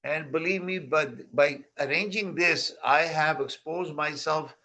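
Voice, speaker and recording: A man hosting an online real estate conference over a video call, talking in a halting way with repeated words. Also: on the high side, bright, forceful tone. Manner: halting, repeats words, non-native phrasing. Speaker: host and founder of the conference. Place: Mississauga near Toronto.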